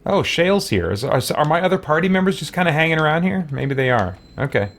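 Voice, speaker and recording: Man with very lively pitch, gaming. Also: fast. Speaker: gaming video host. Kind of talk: casual playthrough commentary and reading aloud.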